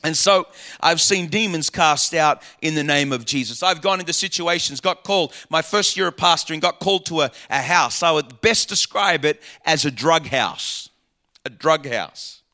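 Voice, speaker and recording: A male pastor preaching with fervent, repetitive emphasis, his voice pitched high and ringing bright. He sounds a little hoarse. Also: moderate pace. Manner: fervent preaching with repeated phrases. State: slightly hoarse. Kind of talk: sermon.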